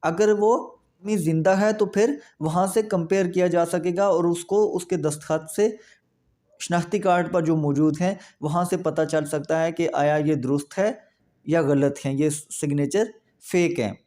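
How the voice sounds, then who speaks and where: A Pakistani man lectures in a notably high voice for a male speaker, with a soft, mellow tone. He explains methodically, one step after another, restating each point as a legal instructor would. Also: steady tone, normal speed.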